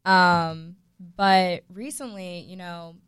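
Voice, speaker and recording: A woman's voice: fairly low pitch, fairly even intonation, slow, fairly bright tone, clean tone, no echo, studio quality. Playful woman guest on a radio show. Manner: playful, mock-promotional. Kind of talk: casual radio chat.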